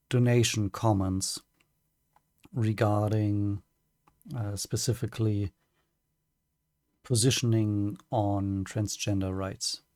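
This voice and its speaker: Slow, hesitant German-accented man. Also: fairly low pitch, warm tone, dry recording, studio quality. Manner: hesitant, many ums and restarts. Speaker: streamer. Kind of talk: prepared personal statement to camera.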